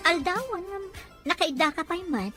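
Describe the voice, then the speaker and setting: A woman playing a caring young wife and daughter who speaks in a lively, brisk way in an audio drama. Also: very high voice, over music.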